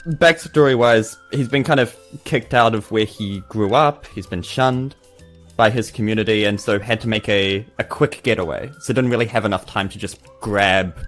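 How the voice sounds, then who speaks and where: A man's voice: lowish voice, a bit bright. A man, chatting casually and wryly as a player on a game podcast. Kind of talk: casual banter and explanation.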